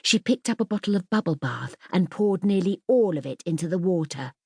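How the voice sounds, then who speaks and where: A woman reading a children's story as an audiobook narrator, in an expressive, scripted storytelling style. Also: fairly low pitch, fairly quick, breathy edge, no room sound, studio quality.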